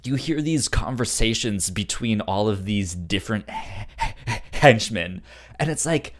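A man's voice: on the low side, animated, measured pace. A man chatting casually as a podcast co-host, amused and enthusiastic, often stammering and repeating words. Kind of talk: casual chat, fan discussion of a TV show.

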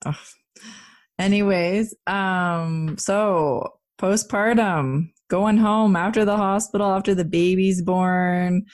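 A woman's voice: mid-range voice, steady tone, takes it slowly. A woman co-hosting a casual pregnancy podcast, chatting with many fillers and restarts. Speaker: podcast co-host.

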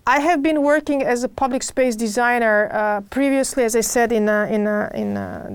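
A middle-aged woman with a Serbian accent answering interview questions about her work, often pausing and repeating words as she searches for phrasing. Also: a little high, slight background noise. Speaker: podcast guest, urban designer.

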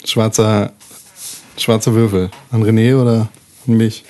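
Man improvising a story haltingly. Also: lowish voice, little pitch movement, dark tone. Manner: halting, thinking aloud. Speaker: tabletop role-playing player. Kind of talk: casual improvised storytelling among friends.

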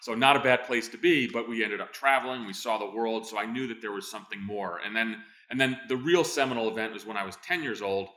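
Brief: man in his fifties, interview guest, talks very fast with restarts, lowish bright voice. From born in Greece, raised in New Jersey. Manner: fast, energetic storytelling with many fillers and restarts. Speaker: guest, former intelligence officer. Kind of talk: conversational interview answers.

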